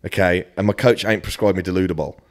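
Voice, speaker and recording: A man's voice: low-pitched, quick pace. A British man in his early thirties chatting casually as a podcast guest, blunt, sweary and self-deprecating. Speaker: podcast guest, fitness coach. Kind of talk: casual chat.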